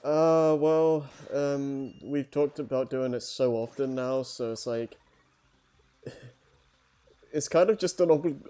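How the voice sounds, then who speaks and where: A man chatting casually as a livestream host, speaking in a hesitant, halting way. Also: medium pitch, warm tone. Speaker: host of a story-reading livestream. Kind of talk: casual chat.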